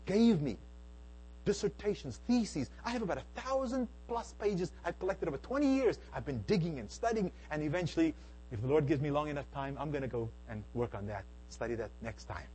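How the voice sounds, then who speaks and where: A middle-aged man from South Africa giving an emphatic church talk, swinging between hushed and loud. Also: a little high, expressive, a little fast, husky, poor audio. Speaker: speaker at a Bible Q and A. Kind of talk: lecture-style church talk.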